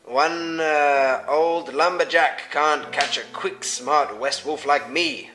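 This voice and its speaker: Man putting on a sly, loud storybook big bad wolf. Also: on the high side, takes it slowly, over music. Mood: smug. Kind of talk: amateur bedtime storybook reading.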